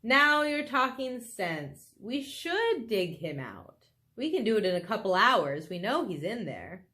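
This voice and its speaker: A woman's voice: mid-range voice, big pitch swings, unhurried, pushed and bright. A woman reading a children's storybook, voicing a fretful, plotting male farmer. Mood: eager. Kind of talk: children's storybook read aloud.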